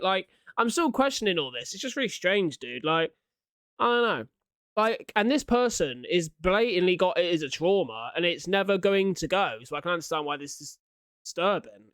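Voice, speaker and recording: A man's voice: sits high, fairly bright tone, smooth, dry recording. A man giving casual, questioning reaction commentary as a video host, in colloquial speech.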